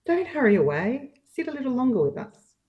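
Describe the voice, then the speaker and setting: A woman playing an earnest, patient, sorrowful young woman in an amateur play reading, speaking in a measured way. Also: sits high, big pitch swings, breathy edge, full character voice. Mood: coaxing. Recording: dull, distant microphone.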